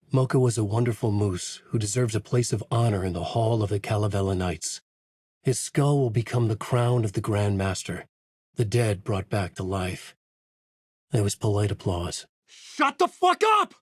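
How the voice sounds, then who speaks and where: A man voicing a brooding, haunted crime novelist from a horror video game, narrating in a grave, intense, deliberate noir style with a husky voice. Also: fairly low pitch, no room sound, clean studio sound. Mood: angry, frustrated. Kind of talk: noir scripted narration and character lines.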